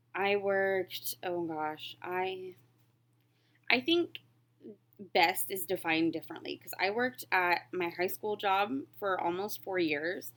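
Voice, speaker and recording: A woman in her late twenties with a slightly low voice, talking in a casual vlog style. She rambles through answers in a candid, reflective way, like someone chatting while working on a craft. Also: fairly bright tone. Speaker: crafting vlogger. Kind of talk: casual chat, answering questions while stitching.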